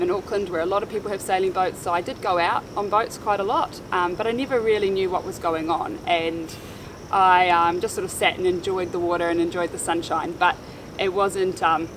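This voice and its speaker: Woman, 30s, New Zealand accent, casual vlog chat, lots of ums, outdoor insect noise. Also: fairly low pitch. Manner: chatty with frequent ums. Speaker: sailing vlogger. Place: outdoors on a boat in Panama.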